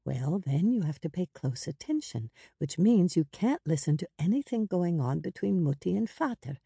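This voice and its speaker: Woman as a soft, soothing big sister. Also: lowish voice, sing-song, moderate pace, creaky, breathy edge, no room sound, studio quality, full character voice. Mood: gentle storytelling. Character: protective, gentle ten-year-old big sister.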